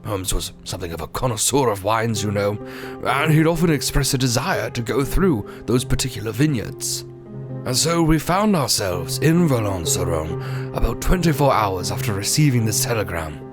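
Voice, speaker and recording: An actor playing a genial, easily flustered British doctor who narrates the tale. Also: male voice, fairly low pitch, animated, normal speed, music in the background, lightly acted. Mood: storytelling. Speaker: Dr. Watson. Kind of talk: old-time radio drama narration.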